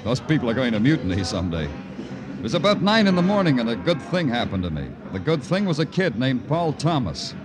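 An American actor playing a world-weary, tender-hearted city detective, narrating in a poetic, hard-boiled old radio crime drama style. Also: male voice, mid-range voice, big pitch swings, a little fast, lightly acted. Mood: brooding. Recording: old radio recording with music.

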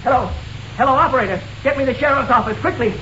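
A man's voice: very high-pitched, fast, loud music behind the voice. An actor playing an alarmed man in a vintage radio drama, calling out urgently and frantically. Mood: panicked. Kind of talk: 1940s-50s radio drama acting. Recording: noisy, dull old radio recording.

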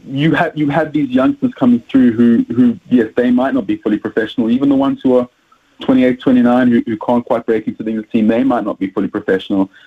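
Man, South African accent, husky voice, phone-quality audio, hesitant, repeats himself. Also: mid-range voice, steady tone, fast. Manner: hesitant, repeats words while thinking. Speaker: rugby journalist guest. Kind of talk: radio interview answers. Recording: tinny phone line.

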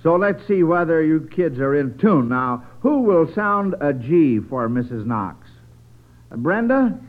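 A narrow, tinny vintage radio recording carries an American man with a high-pitched voice. He hosts a children's quiz in an avuncular, chatty style, addressing the youngsters like a friendly uncle. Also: sing-song, measured pace. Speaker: quiz show host.

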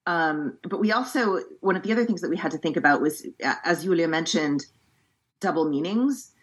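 Woman translator, native English speaker, deep and breathy, reads verse and then talks it through carefully. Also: lively intonation. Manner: thoughtful, measured explaining with frequent restarts. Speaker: poetry translator. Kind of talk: poetry reading and book-event discussion.

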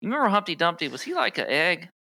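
A man's voice: sits high, big pitch swings, very fast, sharp tone, no room sound. A man co-hosting a casual golf livestream, joking around, asking questions and giving blunt takes. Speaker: golf show co-host.

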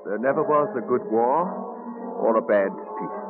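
A man's voice: medium pitch, natural voice. An actor playing an elderly American statesman, weary and dryly witty, in an old radio drama style. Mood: wry, weary. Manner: deliberate, musing, dryly witty. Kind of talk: 1940s radio acting. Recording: tinny old radio recording, music behind.

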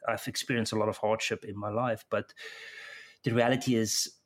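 A middle-aged man with a South African accent, telling his own story in an interview, halting and often repeating words as he restarts. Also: on the low side, level tone. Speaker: interview guest. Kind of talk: personal account in conversation.

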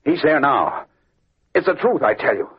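An actor playing a shifty, scheming racketeer in an old-time radio crime drama, with a guarded, hard-boiled delivery. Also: male voice, mid-range voice, very animated, normal speed, slightly rough, exaggerated voice. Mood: urgent. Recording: tinny, band-limited vintage radio recording.

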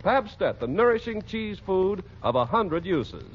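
An American male announcer delivers polished 1940s radio copy with emphatic salesmanship. His fairly high voice rises and falls dramatically. The old broadcast sounds muffled, with music behind it. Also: big pitch swings, a little slow, a little hiss or hum.

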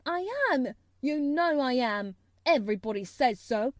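A woman's voice: mid-range voice, a little slow, darkish tone, no echo, clean studio sound, natural voice. A woman voicing a spoiled, self-pitying young invalid boy in a storybook, demanding and petulant. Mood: indignant rage. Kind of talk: audiobook character voice.

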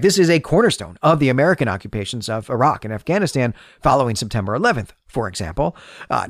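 A man's voice: mid-range voice, no room sound, studio quality. A man hosting a podcast, delivering an analytical, explanatory monologue in the style of literary commentary. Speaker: podcast host.

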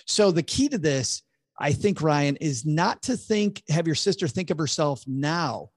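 A man's voice: on the high side, animated, unhurried, no echo, studio quality. A man, a financial advisor guest on a podcast interview, explaining earnestly with frequent stammered restarts.

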